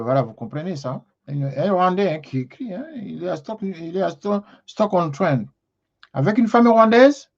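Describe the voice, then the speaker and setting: A call-in show host, reading and answering listener messages, often repeating words and stretching key phrases. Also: mid-range voice. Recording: slightly dull lo-fi sound.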